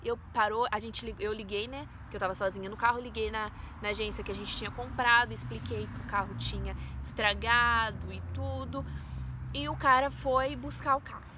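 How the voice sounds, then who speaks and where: A woman's voice: fairly high pitch, fairly even intonation, normal speed, noisy background. A woman in her twenties with a Brazilian Portuguese accent, earnestly telling viewers a personal faith testimony. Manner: earnest, storytelling, addresses viewers as "gente". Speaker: vlogger.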